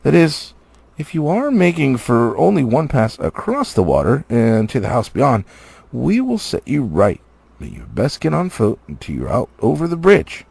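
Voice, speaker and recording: Playful storybook elf acted by a man: raised pitch, swooping singsong delivery, teasing. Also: huge pitch swings, normal speed, creaky, darkish tone, husky, dry recording, full character voice. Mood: friendly, welcoming. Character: merry, teasing, singing male elves of the woods. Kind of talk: storybook character voice.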